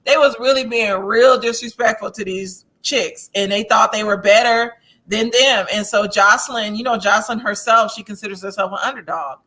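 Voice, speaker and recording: Female, American, sharp bright tone, chatty and giggly, recapping like gossip among friends. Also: fairly high pitch, moderate pace, poor audio. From United States. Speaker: online video channel creator. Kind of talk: casual chat, gossipy recapping.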